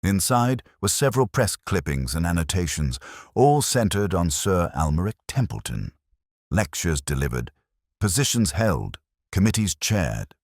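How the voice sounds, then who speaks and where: A male narrator with a very deep voice reads a detective tale. His intonation moves widely, with measured, dramatic phrasing. The sound is dry, with little room to it. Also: big pitch swings, a little mellow, no echo. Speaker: audiobook narrator. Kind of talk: scripted detective story narration.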